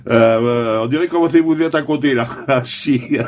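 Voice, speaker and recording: A man's voice: medium pitch, normal speed, a little mellow. A man chatting in a jovial, informal way as an amateur radio operator. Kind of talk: casual radio chat.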